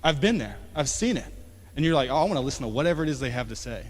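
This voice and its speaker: A man in his late twenties preaching a sermon as pastor, talking conversationally and swinging from emphatic to quiet. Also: medium pitch, expressive, racing. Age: 20s. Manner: conversational, rising to emphatic then dropping soft. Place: church sanctuary. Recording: long church hall reverb.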